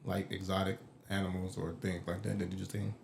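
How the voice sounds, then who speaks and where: A man's voice: sits low, level tone, fairly quick, gravelly, a little mellow, slight background noise. A man hosting a podcast, chatting casually and dropping short questions and interjections. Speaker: podcast host. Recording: roomy echo.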